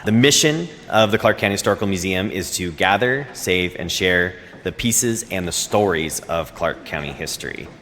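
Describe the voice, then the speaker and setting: A male museum director gives a formal public welcome in a fairly low voice. His measured lists and announcements ring through a long hall reverb, with faint noise behind them. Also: animated, fairly bright tone. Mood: formal, welcoming. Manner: formal, listing phrases one by one. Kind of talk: public welcome speech in a radio drama.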